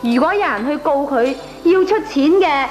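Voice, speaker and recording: Actress as very high-pitched hot-tempered woman, nagging, Cantonese radio comedy, Hong Kong accent, faint music behind. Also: normal speed, close to an everyday voice. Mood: indignant. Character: indignant, hot-tempered, impatient woman neighbour.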